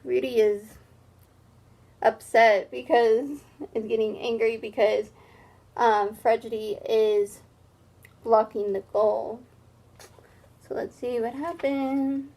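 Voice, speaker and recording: A woman reading a children's story aloud like a teacher, expressive, with simple explanatory asides. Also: on the high side, slow, long pauses.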